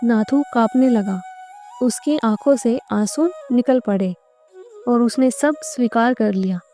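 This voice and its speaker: A woman's voice: on the high side, unhurried, mellow, soft background music. A woman narrating a scripted moral story for a cartoon.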